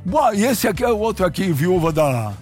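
This is a Brazilian man hosting sports banter in a high voice that leaps around a lot. He is boisterous and teasing, full of slang, with faint music running behind him. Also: big pitch swings, brisk, a little mellow, breathy edge. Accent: Brazilian Portuguese. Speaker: host of a football talk show.

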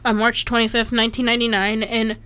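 A woman's voice: a little high, flat delivery, fast, bright, forceful tone, smooth. A woman from Slovakia casually reading an article aloud, stumbling over names and adding offhand asides.

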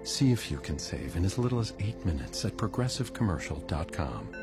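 A male commercial narrator with a very deep voice that moves expressively up and down. He reads in a soothing, reassuring way, with clear music playing behind him. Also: big pitch swings, brisk. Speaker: commercial voice-over. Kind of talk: scripted radio ad.

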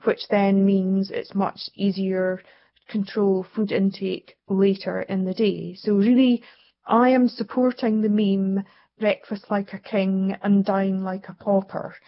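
Brief: woman scientist, calm explainer, mid voice, dull broadcast audio. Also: medium pitch, slow. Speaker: researcher guest. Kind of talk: radio interview answer.

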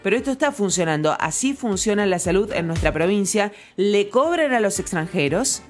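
A woman with a Mendoza Argentine accent hosting a radio talk show, explaining briskly with jokes. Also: mid-range voice, expressive, soft background music. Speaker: radio host.

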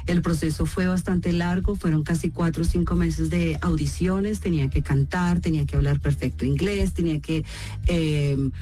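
A woman from Colombia with a Colombian accent, answering interview questions as a voice actress, telling her stories in an animated way with many restarts. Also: sits low, moderate pace. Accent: Colombian Spanish. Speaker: voice actress guest.

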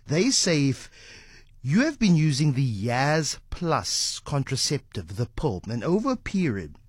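A man's voice: medium pitch, very animated, measured pace, breathy edge, no echo, clean studio sound. A man with a South African accent, a talk show host previewing his programme in a conversational way.

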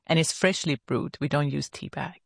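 A woman's voice: low voice, normal speed, gravelly, breathy edge, dry recording, studio quality. A woman from Iran, a university research leader answering interview questions and explaining things carefully. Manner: explains carefully, with short affirmative replies.

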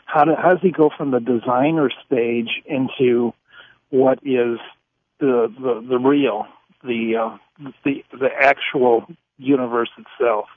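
A man with a husky voice, calling a radio show and haltingly searching for his words. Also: medium pitch, steady tone, dry recording. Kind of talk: rambling spontaneous question. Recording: tinny, dry phone line.

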